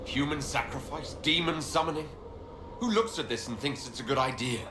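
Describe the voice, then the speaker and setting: A man's voice: medium pitch, moderate pace, background noise, natural voice. Man as a resolute fantasy hero in an echoey space. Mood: indignant. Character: resolute male fantasy hero, blunt and challenging. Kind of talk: dramatic fantasy game dialogue. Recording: echoey, with music behind.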